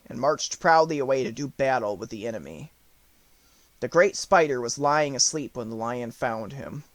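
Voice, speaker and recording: A man's voice: mid-range voice, no room sound. A man reading scripted storybook narration as an audiobook narrator.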